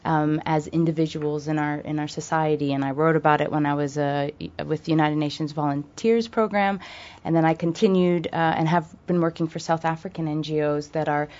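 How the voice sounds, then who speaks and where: American woman, low voice, thoughtful radio guest, muffled audio. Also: a little mellow. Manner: thoughtful, many fillers and restarts. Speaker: guest from a charity. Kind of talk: radio interview.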